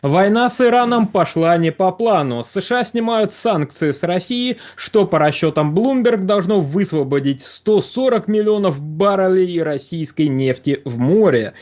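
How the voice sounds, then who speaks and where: High-voiced wry male host. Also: expressive, normal speed, few pauses, a little mellow. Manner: wry, ironic questioning. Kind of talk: show introduction and questions.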